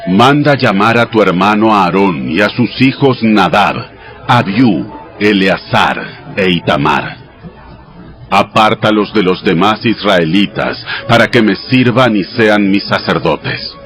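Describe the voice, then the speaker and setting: Low-voiced solemn God, music behind. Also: male voice, fairly bright tone. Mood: solemn, commanding. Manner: measured, solemn, instructive. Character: God, solemn male deity giving sacred commands. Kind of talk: dramatized audiobook Bible reading. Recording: studio voice over background music.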